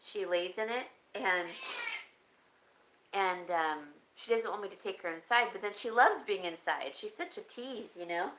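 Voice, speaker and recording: A woman vlogger chatting casually and rambling, answering comments, her voice raspy and broken by frequent coughs. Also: mid-range voice, measured pace, fairly bright tone, poor audio. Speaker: home decor vlogger. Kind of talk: casual live-stream chat.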